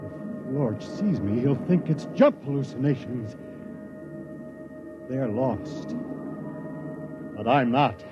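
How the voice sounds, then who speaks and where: An actor playing a distraught, blinded crewman in halting, overwrought 1950s radio drama style. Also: medium pitch, lively intonation. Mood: feverish wonder. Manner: halting, repeating, overwrought delivery. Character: distraught blinded crewman, feverishly sure he can see. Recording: dull vintage radio recording with music.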